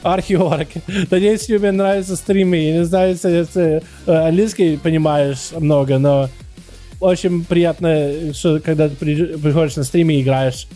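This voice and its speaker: Game streamer, male, American accent, hesitant mixed Russian and English, warm tone. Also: a little high, steady tone, faint music behind. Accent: American, speaking Russian. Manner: halting, searching for words, switches between Russian and English. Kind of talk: casual livestream chat.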